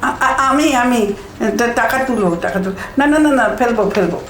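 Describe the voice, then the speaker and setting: Actress as naive, grumbling bank customer, high animated voice, flustered stammer, roomy recording. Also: female voice, brisk, a little hiss or hum, lightly acted. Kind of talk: audio comedy drama acting. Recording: roomy echo, faint music.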